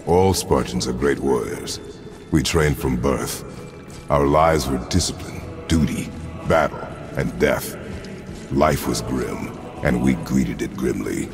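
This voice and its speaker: An actor playing a grim, stoic Spartan warrior father in a video game, speaking tersely and solemnly in formal, measured words. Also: exaggerated voice. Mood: grave, measured. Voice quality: deep, gravelly. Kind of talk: dramatic video game acting. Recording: loud music behind the voice.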